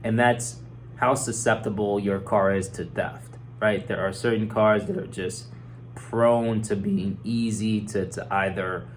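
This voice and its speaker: A hoarse man with a cold, an insurance agent casually explaining things with many fillers and repeated words. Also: lowish voice, fairly even intonation, a little slow, slight background noise. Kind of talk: casual explainer talk to camera.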